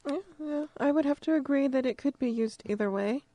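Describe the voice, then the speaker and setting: A woman's voice: high voice, brisk, dark tone, no room sound. A woman hosting a casual interview, agreeable and reflective as she asks questions.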